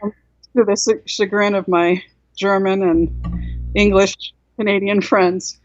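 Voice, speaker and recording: A woman's voice: mid-range voice, flat delivery, slow pace, dry recording, soft background music. A woman in her sixties, raised in South Korea, reflecting at length as a guest author on a video call, with many "you know"s and restarts. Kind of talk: casual interview on a video call. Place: home room indoors. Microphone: laptop webcam mic on a video call. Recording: dull, dry video-call audio.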